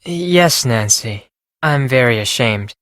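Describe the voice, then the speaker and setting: A man's voice: mid-range voice, lively intonation, slow pace, a bit bright, no echo, studio quality. A man playing an anxious, earnest teenage Japanese exchange student with a Japanese accent and formal, careful phrasing, in video game lines. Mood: helpful, reserved, then ashamed, pleading.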